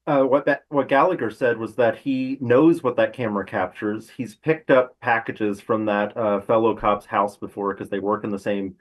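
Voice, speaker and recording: A man speaking as a crime reporter guest, explaining trial testimony conversationally with hesitant, halting phrasing. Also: on the low side, steady tone.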